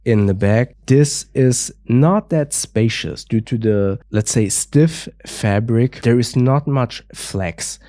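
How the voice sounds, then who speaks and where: A man's voice: medium pitch, slow, hardly any pauses, dark tone, no echo, clean studio sound. A man with a stuffy nose from a cold, reviewing gear in a careful, methodical way with frequent small hedges. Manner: careful, methodical, hedging with "a little bit". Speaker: product reviewer.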